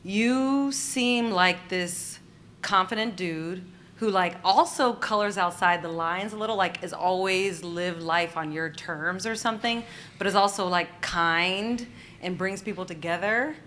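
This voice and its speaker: A woman's voice: lowish voice, a little slow, fairly bright tone, slight background noise. A woman hosting a podcast, asking questions in a hesitant, casual way with many ums. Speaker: podcast host. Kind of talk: casual interview chat.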